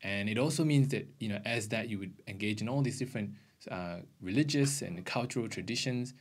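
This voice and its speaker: Guy in his 30s from australia, fairly low voice, missionary being interviewed on stage. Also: brisk, husky. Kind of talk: explanatory testimony in an onstage interview. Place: indoor stage with curtain backdrop. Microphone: handheld microphone.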